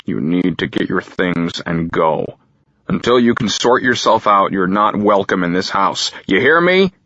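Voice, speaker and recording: A man's voice: low-pitched, expressive, measured pace, pushed and bright, no room sound, full character voice. A man voicing a harsh, furious middle-aged father who speaks sternly and condescendingly, in a dull, dry recording.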